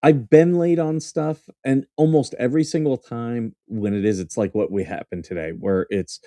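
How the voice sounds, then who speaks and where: A former Marine officer co-hosting a casual talk, speaking haltingly with lots of fillers and breaking into easy laughs. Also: male voice, medium pitch, warm tone, no room sound, clean studio sound. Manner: hesitant, many fillers and restarts, easy laughter. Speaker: co-host. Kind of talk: casual conversation.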